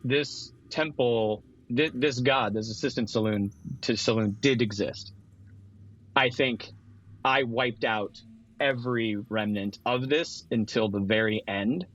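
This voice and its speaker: A man's voice: mid-range voice, a little slow, fairly bright tone. Slightly dull recording. Male tabletop player, middle register, loose conversational storytelling. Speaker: guest player in tabletop game. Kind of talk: casual improvised storytelling.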